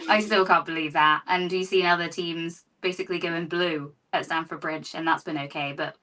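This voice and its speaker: Giggly woman host, low voice, distant. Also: fairly even intonation, normal speed, bright, forceful tone. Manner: giggly, easygoing questioning. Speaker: show host. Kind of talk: casual football fan discussion.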